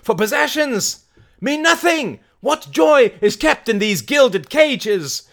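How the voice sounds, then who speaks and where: A man's voice: very high voice, sing-song, slow, creaky, fairly bright tone, voice pushed up, exaggerated voice. A man voicing a fiery, defiant rebel woman who preaches to rouse a mob in declamatory audiobook oratory. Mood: fervent, defiant. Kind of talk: audiobook character acting.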